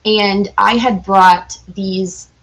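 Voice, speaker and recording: A woman with a medium-pitched voice talks as a guest in a casual interview. She is enthusiastic and informal, with a giggly streak. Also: fairly even intonation, extremely slow, fairly bright tone, poor audio. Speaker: podcast guest, cosplayer.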